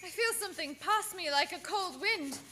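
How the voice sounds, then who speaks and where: A woman's voice: extremely high pitch, very noisy. A woman playing an entranced, haunted young woman speaking as if from a trance in a radio play. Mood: frightened. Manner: trance-like, drawn-out. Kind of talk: old-time radio acting.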